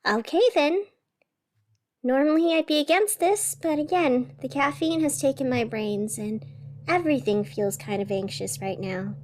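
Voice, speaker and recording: A woman playing a radio host in an audio drama, narrating with measured, theatrical delivery and dramatic pauses. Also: high-pitched, darkish tone, close to an everyday voice. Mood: frazzled, wry. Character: sleepless, over-caffeinated female radio host in strange city. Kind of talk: scripted radio fiction narration.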